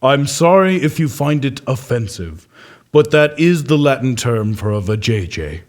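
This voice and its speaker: A man playing a grave doctor in a comedy sketch, speaking in a solemn, deliberate, deadpan way. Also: medium pitch, natural voice. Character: grave male doctor delivering absurd bad news. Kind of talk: comedy audio sketch acting.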